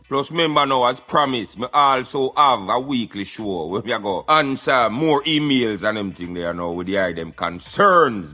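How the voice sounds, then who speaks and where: A Jamaican man hosts a radio show in an animated, bright and forceful voice. He talks casually in patois, peppering his monologue with affirmations, while music plays behind him. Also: medium pitch. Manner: patois, repeated "yeah man" interjections.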